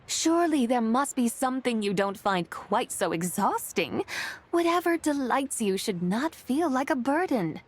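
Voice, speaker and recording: Lofty, animated ancient immortal. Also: female voice, fairly high pitch, unhurried, slightly rough, full character voice. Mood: encouraging. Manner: archaic, grand, theatrical phrasing. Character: lofty ancient female immortal, amused by mortals. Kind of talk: video game character acting.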